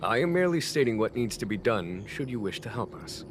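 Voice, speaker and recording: An actor playing a calm, wry military general in a video game, speaking in a measured, formal and courteous way. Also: male voice, medium pitch, a little hiss or hum, natural voice. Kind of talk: scripted video game dialogue. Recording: background music under the voice.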